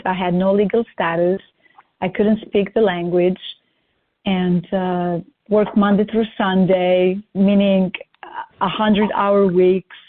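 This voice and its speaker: Woman on a flat tinny line. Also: lowish voice. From Albania. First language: Albanian. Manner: upbeat, lists achievements at length. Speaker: guest. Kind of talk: interview self-introduction.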